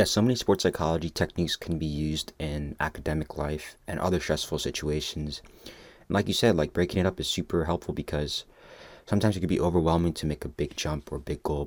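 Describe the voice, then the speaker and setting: A man's voice: very deep voice, a little fast, a little mellow, dry recording. A man interviewing a guest, casually affirming her answers before asking the next question.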